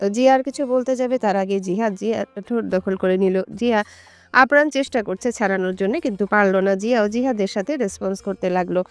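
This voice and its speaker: A woman's voice: mid-range voice, fairly quick, no room sound. A woman reading scripted narration for a romantic story. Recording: soft music behind the voice.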